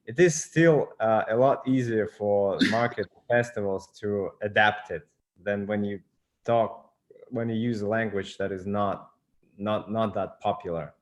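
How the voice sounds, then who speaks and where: Hesitant, low-voiced film producer man. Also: a little slow. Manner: hesitant, many fillers and restarts. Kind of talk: casual interview answers.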